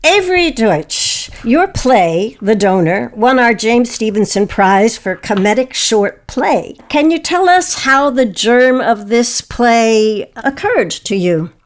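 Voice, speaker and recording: A woman's voice: fairly high pitch, expressive, slow pace, few pauses. A woman hosting an arts audio show, reading credits and putting questions to guests in a presenter's style. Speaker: host. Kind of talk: scripted credits and interview questions.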